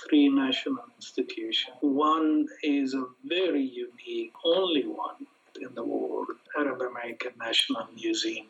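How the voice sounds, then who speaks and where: A man from Lebanon speaks in steady, formal interview answers, pausing on small hesitations. The audio is dull and lo-fi, with the top end muffled. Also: mid-range voice. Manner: measured, formal, with hesitation fillers. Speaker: guest, nonprofit executive director.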